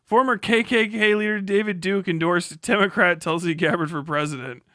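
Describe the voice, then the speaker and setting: High-voiced man, bright and sweary. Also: moderate pace, no echo, clean studio sound. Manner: profane, mocking, exclamatory. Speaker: livestream host. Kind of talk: sarcastic off-the-cuff commentary on online clips.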